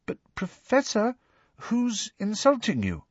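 Schoolgirl for audiobook male reader: raised pitch, hushed, concerned, shyly respectful. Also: big pitch swings, normal speed, no echo, lo-fi sound, exaggerated voice. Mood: timid, concerned. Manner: timid, deferential.

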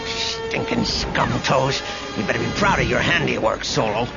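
A man voices a sour, battle-worn alien sergeant in a space-war audiobook. He growls out sarcasm in a gruff, middle-pitched voice, with music behind and slightly muffled sound. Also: very animated, a little slow. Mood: scornful. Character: gruff, bitter, cursing tentacled alien army sergeant.

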